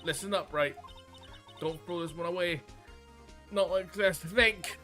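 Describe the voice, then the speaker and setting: Man playing an insistent, bright-voiced mentor. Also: sits high, unhurried, soft background music. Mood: urging. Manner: coaching, insistent. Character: encouraging, insistent mentor to a young lawyer.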